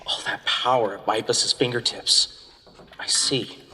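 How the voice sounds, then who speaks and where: A man's voice: medium pitch, fairly even intonation, measured pace, bright, forceful tone. A man playing a curious young student in an audio drama, inquisitive, in a reverberant space with faint noise. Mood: puzzled, intrigued. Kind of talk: fan audio drama acting.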